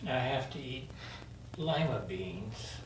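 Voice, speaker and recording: Woman acting an anxious, low-voiced, meek roommate. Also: animated, takes it slowly, heavily acted. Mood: glum. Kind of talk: script table read. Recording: echoey room from far off, noisy.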